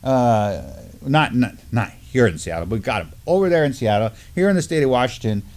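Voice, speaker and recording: A man's voice: medium pitch, expressive, fairly quick, a little mellow. A middle-aged man co-hosting a live news talk show, chatting casually from a desk in a small studio, with many fillers and restarts. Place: studio with mottled backdrop, at a desk.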